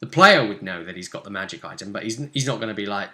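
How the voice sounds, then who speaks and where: A man talks casually as a tabletop game master going over play. His voice is bright and his pitch moves about a lot. He is playful and readily slips into acting out bits of the game. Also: fast talker. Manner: playful, animated, acts out game moments.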